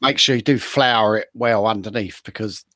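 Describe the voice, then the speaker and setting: A man's voice: lowish voice, moderate pace, sharp tone, no room sound, clean studio sound. A man casually giving practical tips as a show panelist.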